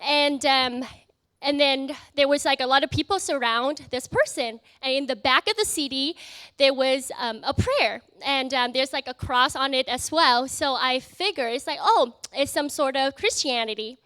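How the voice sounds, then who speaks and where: A young woman from Vietnam with a Vietnamese accent, giving an earnest, halting personal testimony to an audience, full of fillers and restarts. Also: sits high, fairly bright tone, no room sound. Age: young adult. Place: event in front of an audience.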